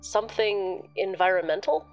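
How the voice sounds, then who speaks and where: A woman playing a casual young acquaintance on a phone call, talking in a halting, hesitant way. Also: medium pitch, animated, faint music behind, close to an everyday voice. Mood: hesitant, reflective. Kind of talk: naturalistic audio drama phone conversation.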